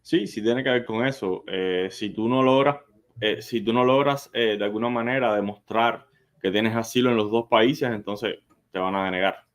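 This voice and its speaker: Male lawyer guest, fairly low voice, plain-spoken explanatory advice, step by step. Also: normal speed. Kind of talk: live legal advice, explanatory.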